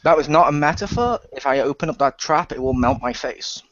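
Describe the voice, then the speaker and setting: A man's voice: fairly high pitch, lively intonation, brisk, lightly acted. A man playing a cheeky academy graduate angling for a job, casual and wry in an improvised role-play. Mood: cheeky, eager. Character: cheeky young male academy graduate seeking work. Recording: slightly dull voice chat audio.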